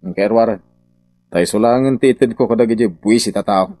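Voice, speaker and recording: An actor in a radio drama playing an irritable, evasive contractor boss with a blustering, defensive manner. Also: male voice, on the low side, fairly quick, mellow, dry recording, lightly acted. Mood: worried.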